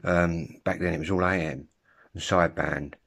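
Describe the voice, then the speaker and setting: Casting: English man, fifties, deep voice, informal and halting, rambling hobby vlogger talking into a phone at home. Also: fairly even intonation, vocal fry, fairly bright tone. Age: 50s. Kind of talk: casual rambling vlog chat. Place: room at home. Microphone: phone at arm's length.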